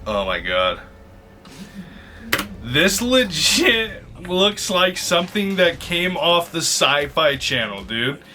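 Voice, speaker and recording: A man's voice: a little high, big pitch swings, slow pace, bright, forceful tone, a little hiss or hum. A man giving casual, slangy reaction commentary as an online video host, exclaiming and mocking as he goes. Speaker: YouTube host reacting to movie trailers. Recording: music playing behind the voice.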